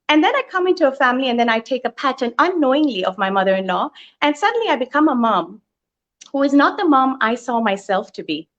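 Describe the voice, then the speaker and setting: Earnest woman from Thailand, lo-fi. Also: on the high side. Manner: earnest, thoughtful, with frequent pauses. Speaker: guest. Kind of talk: reflective personal storytelling. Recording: lo-fi online live stream.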